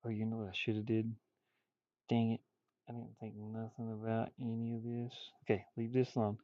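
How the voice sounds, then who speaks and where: A man's voice: fairly low pitch, monotone, normal speed, dry recording, dull audio. A man streaming casually as he draws, muttering and thinking aloud in folksy, informal speech. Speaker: streamer and hobby artist. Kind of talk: casual livestream chat while drawing.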